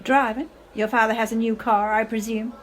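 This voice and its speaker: A woman's voice: a little high, level tone, moderate pace, close to an everyday voice. A woman acting a melodramatic, self-pitying, clinging middle-aged mother in a radio play, with theatrical, affected speech. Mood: bitter, sarcastic. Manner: theatrical, affected, sprinkles in foreign phrases.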